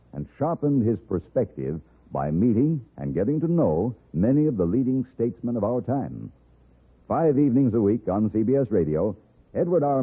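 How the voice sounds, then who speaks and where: Husky American radio announcer, old tinny broadcast. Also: male voice, on the low side. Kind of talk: 1950s radio announcing, scripted.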